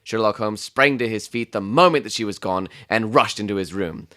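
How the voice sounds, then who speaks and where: A man playing an observant, composed Victorian gentleman who narrates a detective story in a measured, literary way. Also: lowish voice, no room sound, studio quality. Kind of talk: dramatic audiobook narration.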